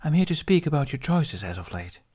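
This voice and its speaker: A man voicing a serene young Viking maiden for an audiobook, a mystical warden who speaks softly, formally and with knowing amusement. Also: on the high side, sing-song, fairly quick, slightly rough, pitched above the performer's own voice. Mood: calm, firm.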